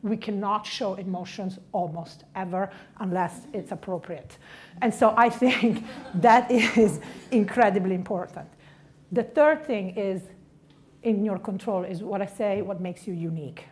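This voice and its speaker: A woman's voice: medium pitch, a little slow, darkish tone, slight background noise. A middle-aged woman from Italy with an Italian accent, giving a direct, punchy motivational career talk. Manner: direct, punchy, stressing key words.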